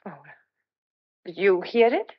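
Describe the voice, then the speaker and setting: A woman's voice: medium pitch, sing-song, slow pace, husky, highs cut off, full character voice. An actress playing an anxious, defensive but tender young country woman in an old-fashioned radio play, earnest and hesitant. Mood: defensive, indignant.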